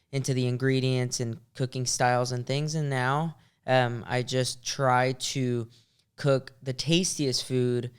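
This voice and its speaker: A man's voice: medium pitch, clean tone. A man in his twenties from Venezuela, a podcast guest talking earnestly and reflectively about himself, with frequent ums. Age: 20s. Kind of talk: casual podcast conversation.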